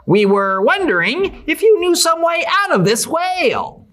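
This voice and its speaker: A woman's voice: extremely high pitch, sing-song, measured pace, fairly bright tone, exaggerated voice. A woman voicing a plucky, earnest young male hero in a theatrical puppet show. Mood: polite, curious.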